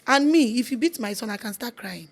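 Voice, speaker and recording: A middle-aged Nigerian woman speaks with a Yoruba accent in a relaxed interview, her voice husky and a touch high. She is earnest and emphatic, circling back to repeat her points and dropping in Yoruba phrases. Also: fairly quick. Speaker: actress, interview guest. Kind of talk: casual interview chat.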